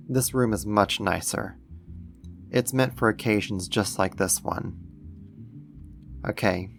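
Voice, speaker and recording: Doting alien owner male actor, low soft-spoken ASMR delivery, soothing and coaxing, slightly condescending, faint music behind. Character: gentle, doting male alien-like being soothing a new pet.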